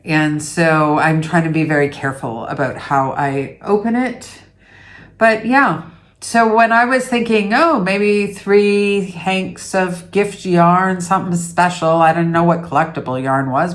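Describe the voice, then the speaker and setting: A middle-aged woman from Canada, talking slowly and easily to the camera from her dining room. Her voice sits a little low, and she rambles with fillers and self-deprecating asides. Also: steady tone. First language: English. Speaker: yarn vlogger host. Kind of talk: casual chat to camera.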